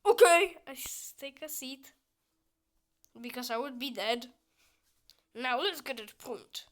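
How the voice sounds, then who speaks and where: High, youthful-voiced woman gaming. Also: expressive, measured pace. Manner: chatty and goofy, with squealing outbursts. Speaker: gameplay streamer. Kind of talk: casual gameplay commentary, reading game dialogue aloud.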